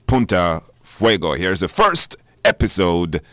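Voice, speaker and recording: A man introducing a radio programme off the cuff, halting and repeating himself. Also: low voice, big pitch swings, fairly bright tone. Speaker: radio host.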